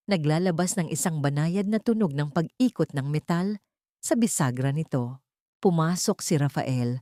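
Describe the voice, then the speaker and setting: A woman narrating a dramatic audiobook story in a steady, even way. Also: fairly low pitch, dry recording, studio quality.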